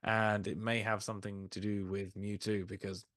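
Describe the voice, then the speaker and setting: A man hosting a casual film discussion, recapping in a rambling way with animated asides. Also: on the low side, moderate pace, no room sound, clean studio sound. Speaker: podcast host.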